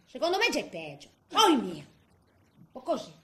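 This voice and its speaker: A feisty old woman with a Tuscan accent grumbles through a comic theatre monologue. Her voice is bright and sharp, swooping up and down, with muttered asides and exclamations. It rings in a roomy, echoing space. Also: high-pitched, wildly swooping pitch, normal speed, full character voice. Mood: grumbling. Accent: Tuscan Italian. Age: older. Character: feisty, grumbling eighty-year-old woman in a nursing home.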